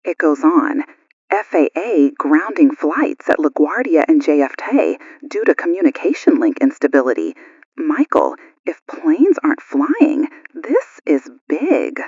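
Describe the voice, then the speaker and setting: A woman's voice: low-pitched, sing-song, measured pace, a little mellow, husky, no room sound, dull audio, exaggerated voice. A woman playing an alert, concerned morning radio news host in an audio drama. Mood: urgent, worried. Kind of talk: acted radio broadcast drama.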